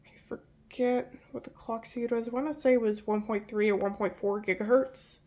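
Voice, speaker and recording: A woman's voice: a little high, fairly even intonation, moderate pace, warm tone. A young woman with a nasal voice from pollen allergies, casually walking through old computer hardware and often correcting herself as she rambles. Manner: rambling, self-correcting, apologetic. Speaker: tech video host.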